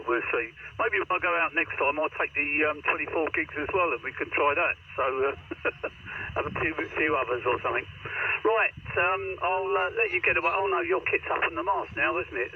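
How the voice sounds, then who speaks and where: A middle-aged or older man, heard over a two-way radio, chatting casually in a rambling, hesitant way. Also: a little high. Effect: heard over a two-way radio link. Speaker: amateur radio operator. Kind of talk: casual radio contact chat. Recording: muffled radio link, faint noise.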